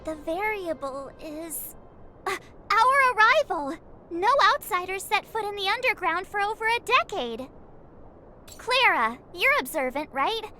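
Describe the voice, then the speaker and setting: A woman's voice: very high-pitched, expressive, a little slow, pushed and bright, faint music behind, full character voice. A woman voicing a perky, outgoing teenage girl adventurer in a video game, chatty and emphatic. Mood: eager, persuasive.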